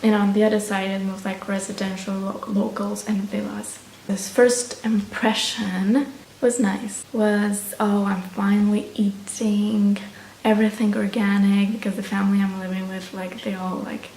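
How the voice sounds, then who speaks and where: A woman's voice: mid-range voice, flat delivery, a little slow, a little mellow. A young woman with a Romanian accent, chatting casually to camera in a rambling, hesitant way as a vlogger. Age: young adult. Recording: roomy echo, distant mic, faint noise.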